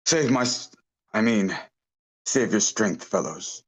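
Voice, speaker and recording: Crook ringleader , male reader: dry, bossy, curt, deeper voice, slow delivery for a comic stage script. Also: lively intonation, slightly rough, heavily acted. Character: sardonic male ringleader of bumbling murderers. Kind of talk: amateur script table read.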